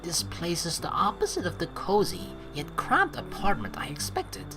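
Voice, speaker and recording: A woman's voice: lowish voice, huge pitch swings, moderate pace. A young woman hosting a let's play, reading game narration aloud and commenting expressively in blunt, sweary language. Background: game music.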